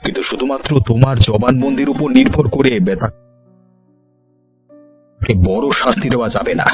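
A man's voice: mid-range voice, unhurried, loud music behind the voice, close to an everyday voice. A man voicing a stern, authoritative village police officer in a cartoon. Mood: official, conspiring. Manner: authoritative, giving orders. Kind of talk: cartoon voice acting.

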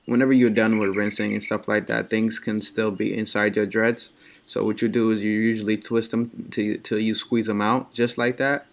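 A young man in casual voice-over, explaining his hair care in a relaxed, rambling way with many pauses. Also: fairly low pitch, gravelly.

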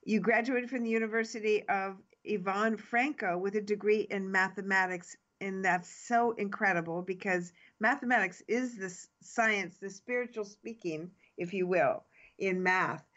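A woman's voice: mid-range voice, moderate pace, a bit bright. A woman radio host with a mid-range voice introduces her guest in an upbeat, scripted way, with small slips now and then. The sound is slightly dull and lo-fi.

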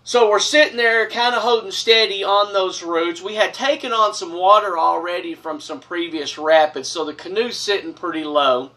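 Animated man telling a story. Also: sits high, distant mic. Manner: animated, gestures broadly with both hands. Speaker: storyteller host. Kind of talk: casual spoken storytelling. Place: small wood-paneled room, seated on couch.

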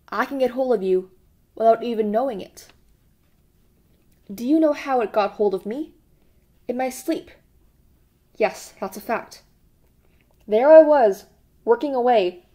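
A woman puts on a terrified, servile middle-aged man in an audiobook. He pleads eagerly and anxiously, almost blubbering, his voice lifted higher and louder than the narration. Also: slow, pauses often.

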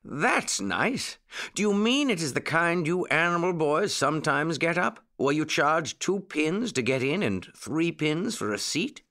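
A man voicing a kindly, fussy old gentleman rabbit in a children's story, with a genteel, exclamatory manner. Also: a little high, animated, a little slow, voice pushed up, full character voice. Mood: musing.

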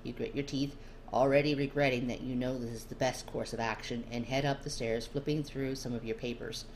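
A woman's voice: very deep voice, steady tone, fairly quick, darkish tone, rough recording. A woman narrating a scripted story in the second person, as an amateur reader of fiction. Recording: clear background noise.

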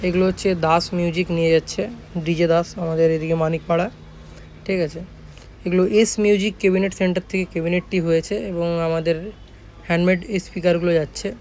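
West bengal guy doing casual workshop vlog, high voice, talks fast, some street noise behind him. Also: little pitch movement, darkish tone. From Jhargram, West Bengal, India. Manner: repeats points, often adds tag phrases seeking agreement. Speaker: speaker workshop owner presenting a video.

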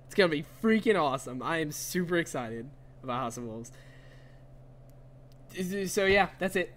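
A young adult man co-hosting a gaming podcast with casual, slangy, excitable banter over a headset. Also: on the high side, moderate pace. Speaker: podcast co-host and game streamer. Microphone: headset, online call. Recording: faint background noise.

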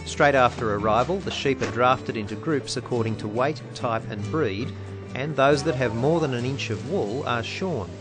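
A man's voice: mid-range voice, normal speed. Male narrator over music. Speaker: documentary narrator. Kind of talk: scripted narration.

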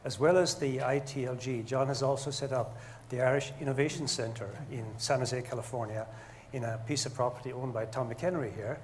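An older man with a mid-pitched voice gives considered remarks on a panel. He is deliberate and hesitates often between phrases. There is faint noise behind him in the hall. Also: fairly even intonation. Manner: deliberate, hesitant with frequent pauses. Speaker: panelist, engineer. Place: stage of a lecture hall, indoors.

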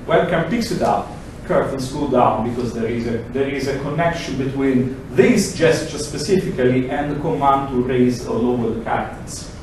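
Man, Italian accent, middle-aged, animated lecture, distant camera, very echoey room. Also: medium pitch, moderate pace, noisy background. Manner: animated, gesturing, acts out examples in quoted voices. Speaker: lecturer presenting a project. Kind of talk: lively technical presentation. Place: large lecture room, indoors. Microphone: far camera, no mic.

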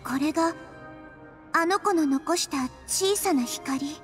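A little girl deity in a video game, high-pitched and childlike. She is calm, wise and compassionate, with earnest, measured delivery. Faint music plays behind her. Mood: gentle, watchful.

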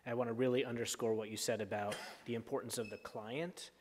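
A man moderating a panel discussion in an auditorium, measured as he guides the questions. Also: mid-range voice, fairly even intonation, a little mellow, slight background noise. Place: large auditorium. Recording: long hall reverb.

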